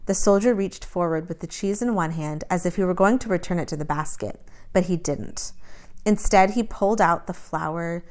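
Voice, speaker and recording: A woman reading a children's novel aloud as an expressive story narrator. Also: lowish voice, moderate pace. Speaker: story reader.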